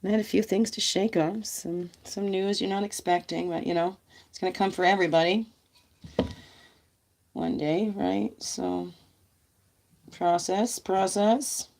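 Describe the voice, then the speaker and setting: A woman's voice: medium pitch, a little slow, gravelly, pauses often, darkish tone. A woman vlogger chatting casually on a livestream about spiritual guidance, rambling and laughing, her voice nasal and stuffy from congestion.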